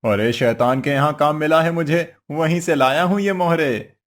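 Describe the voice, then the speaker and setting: Crafty cartoon villager husband, male actor, higher-pitched, coaxing, theatrical and exclamatory. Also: moderate pace, natural voice. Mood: reassuring. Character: crafty cheerful village husband, wheedling trickster. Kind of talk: Hindi cartoon moral story voice acting.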